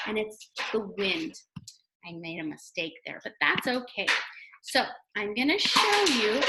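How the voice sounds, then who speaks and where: Slow, higher-voiced woman teaching young children. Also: noisy background. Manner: simple, child-directed talk with questions and sounded-out letters. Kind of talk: read-aloud lesson for young children.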